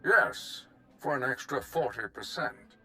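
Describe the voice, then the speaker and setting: A man voicing a brisk, practical fleet organizer in a video game. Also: medium pitch, animated, pushed and bright. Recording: background music.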